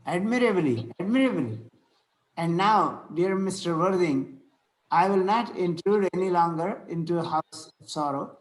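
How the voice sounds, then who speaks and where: A man playing a courteous, solemn Victorian clergyman in a play read-through, speaking formally and a little haltingly. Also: high voice, lively intonation, rough recording. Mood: consoling. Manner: formal, preachy, halting as he reads. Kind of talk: amateur play table read.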